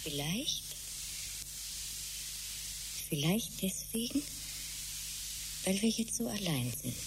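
An actress in a German radio drama playing an anxious, frightened woman who pleads and frets in halting, whispery phrases. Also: mid-range voice, sing-song. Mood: uneasy. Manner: halting, breathy whispering when afraid, pleading. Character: frightened, anxious female companion, fretting and pleading. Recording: clearly noisy, hissy radio play recording.

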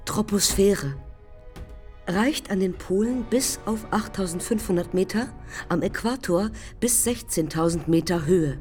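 A woman's voice: medium pitch, unhurried, darkish tone, lightly acted. An actress playing a daring, intense 1920s woman aviator in a poetic radio drama, speaking in clipped, incantatory fragments. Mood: dreamy, precise. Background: music under the voice.

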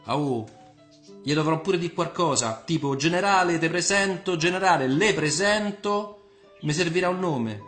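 A man's voice: on the high side, expressive, moderate pace, fairly bright tone, reverberant, soft background music, voice pushed up. A man voicing a cocky, talkative young Roman man who banters and teases in a colloquial Roman accent. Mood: eager, friendly.

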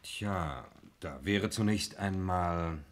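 An actor playing a polite, probing police sergeant in a 1960s German radio play, courteous and insinuating. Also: male voice, low-pitched, slow. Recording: 1960s radio recording, hiss and clicks.

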